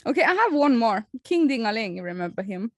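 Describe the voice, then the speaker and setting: A woman's voice: a little high, big pitch swings, no echo. A young woman from Norway with a Norwegian accent, co-hosting a podcast in casual chat and laughing often. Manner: giggly, quick bursts of laughter. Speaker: podcast co-host.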